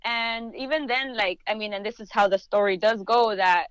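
Chatty young woman, smooth clear voice. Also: mid-range voice, normal speed, fairly bright tone, no echo. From United States, Chicano family. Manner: chatty, many "like" fillers. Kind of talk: casual podcast chat.

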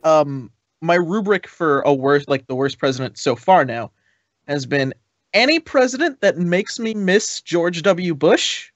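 Male podcaster, sardonic, sweary, casual delivery, highish pitch, very dry sound. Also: animated, a little slow, no echo, muffled recording. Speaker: podcast co-host. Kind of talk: casual chat.

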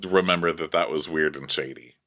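A man co-hosting a comedy recap podcast, sarcastic and quick to laugh. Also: a bass voice, steady tone, fairly bright tone. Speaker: podcast co-host.

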